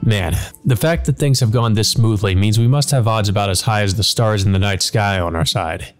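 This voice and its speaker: A man plays a cynical shadowy demon servant from a visual novel. The voice is fairly low, flippant and taunting, with music behind it. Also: moderate pace, natural voice. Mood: smug musing.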